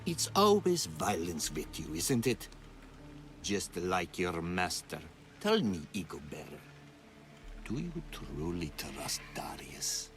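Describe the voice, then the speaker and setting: A sinister male hunter from a video game. His deep voice moves slowly and swings with mocking, theatrical menace, while faint music plays behind him. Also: huge pitch swings, exaggerated voice. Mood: taunting. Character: sinister, calm male villain, taunting cult hunter. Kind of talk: video game villain acting.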